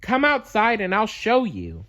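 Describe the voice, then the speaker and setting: A man voicing an excitable, caring young female bear from a children's storybook, earnest and pleading. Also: sits high, measured pace, pitched above the performer's own voice, exaggerated voice. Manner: exclamatory, pleading.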